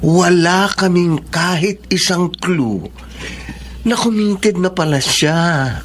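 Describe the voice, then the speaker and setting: A calm, loyal male friend voiced by an actor in a radio drama, his speech rising and falling in a lively way. He reassures those around him with steady warmth, over a faint hiss. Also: high-pitched, takes it slowly. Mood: reassuring. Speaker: supportive friend. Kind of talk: Tagalog radio drama acting.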